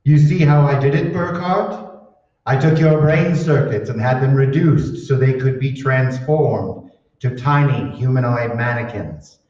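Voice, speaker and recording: A man playing a cold, calculating scientist in an old-time radio drama, deliberate, matter-of-fact and gloating. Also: medium pitch, a little mellow, natural voice. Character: cold, calculating male mad scientist, smugly explaining. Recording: echoey hall, distant mic, muffled.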